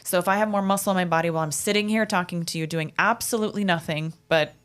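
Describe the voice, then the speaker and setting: A woman in her thirties hosting a podcast interview, asking eager, curious questions and thinking out loud. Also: on the low side, quick pace, smooth. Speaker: podcast host. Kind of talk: interview chat.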